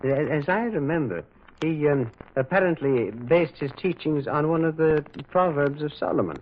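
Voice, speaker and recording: An actor playing a gentle, inquisitive priest detective in an old radio drama, hesitant and pondering, often repeating words. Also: male voice, fairly high pitch, animated, moderate pace, close to an everyday voice. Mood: reminiscing. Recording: hissy narrow-band vintage radio recording.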